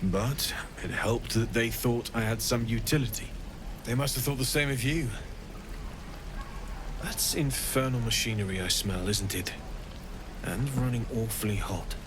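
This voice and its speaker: An actor playing a gruff, practical, war-worn blacksmith in a video game, matter-of-fact and wry. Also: male voice, mid-range voice, unhurried, long pauses, noisy background, close to an everyday voice. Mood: wry, appraising. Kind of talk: video game character acting.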